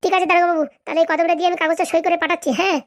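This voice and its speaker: High-pitched bossy cartoon woman politician, acted by a woman. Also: level tone, very fast. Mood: brisk, businesslike. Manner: brusque, demanding. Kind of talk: comic cartoon dialogue.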